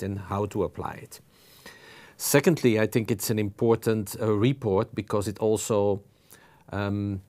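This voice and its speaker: A man from Belgium with a Flemish accent, a native Flemish speaker, giving a webinar presentation slowly and carefully, with frequent pauses and fillers. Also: fairly low pitch, darkish tone. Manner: slow, careful, halting with many fillers. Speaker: presenting dental researcher.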